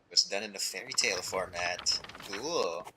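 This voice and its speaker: A man's voice: sits low, normal speed, background noise. A man streaming a game, reading story text aloud and adding short comments.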